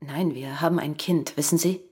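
An actress playing a strained, defiant wife with blunt, curt delivery in a radio crime drama. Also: female voice, low-pitched, normal speed, darkish tone, slightly rough.

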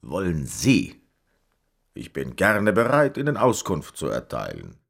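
An actor playing a nervous, condescending young mathematics professor in a German radio play, lecturing in a halting, evasive way. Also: male voice, low-pitched, huge pitch swings, creaky, fairly bright tone, husky, exaggerated voice. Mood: politely formal. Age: young adult. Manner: halting, lecturing, swinging emphatically.

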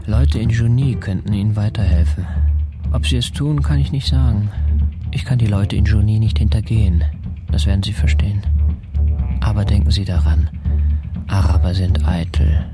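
A man acts out an insinuating, cynical German in a radio drama. His voice sits low and often drops to a hushed, confiding murmur. He speaks with polite, knowing amusement while music plays strongly underneath. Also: moderate pace, lightly acted.

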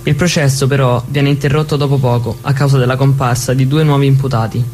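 Man acting as formal news-style chronicler, measured factual reading, medium pitch, bit of noise and hum. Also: fairly even intonation, darkish tone, natural voice. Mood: formal, sober. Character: impersonal male chronicler reciting historical facts. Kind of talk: formal scripted documentary narration in radio theatre.

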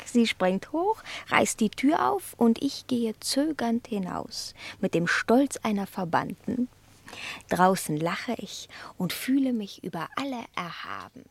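A woman playing a haughty, sharp-tongued female cat in a 1960s German radio play, speaking with grand, theatrical disdain. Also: on the high side, sing-song, slow, creaky, exaggerated voice. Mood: gloating.

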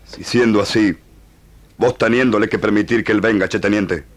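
Deep solemn Pampas chief played by a man. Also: steady tone, moderate pace, no room sound, natural voice. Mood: commanding. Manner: broken Spanish built on gerunds, declamatory. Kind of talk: radio drama acting. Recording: archival radio drama with music underneath.

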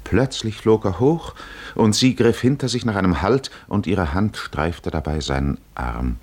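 A man narrating a literary 1950s radio drama in a solemn, measured manner with deliberate pauses. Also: fairly low pitch, animated, a little mellow, breathy edge.

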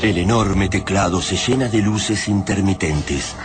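A man's voice: low-pitched, a little slow, heavy background noise. A man narrating a radio drama in a scripted, dramatic storytelling style. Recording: music behind the voice.

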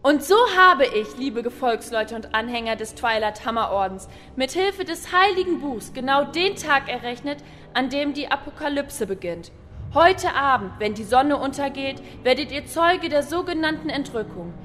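Doomsday priestess played by a woman: high, grandiose, solemn, in a reverberant hall, parody audio drama. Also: expressive, normal speed, fairly bright tone, a little hiss or hum. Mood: solemn, fanatical. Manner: preaching, grandiose. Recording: long hall reverb, faint music.

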